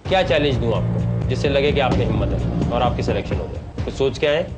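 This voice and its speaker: A man's voice: medium pitch, a little fast, loud music behind the voice. A man in his thirties hosting an audition show in a TV studio, grilling a contestant with blunt, mocking questions. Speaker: show host and audition judge. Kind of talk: teasing, challenging audition interview. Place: TV studio set, seated at a desk.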